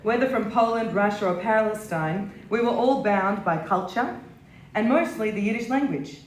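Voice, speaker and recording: A woman's voice: medium pitch, moderate pace, a little hiss or hum. A woman giving a dramatic reading of a scripted memoir at a live event. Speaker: reader at a literary stage event. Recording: roomy, echoing live venue.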